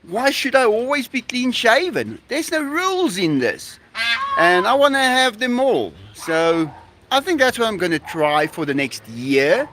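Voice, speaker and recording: Man with an afrikaans accent on a live stream, pretty high voice that jumps around a lot, slow, laughs a lot, some faint noise behind. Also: very animated. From South Africa. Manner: chatty, laughing, playful with his dogs. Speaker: livestreamer. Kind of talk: casual live stream chat.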